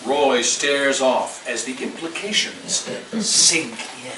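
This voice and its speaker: A man's voice: medium pitch, takes it slowly. A man narrating the stage directions of a script at a live table read. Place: live staged event in a room. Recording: echoey room, distant mic, clear noise.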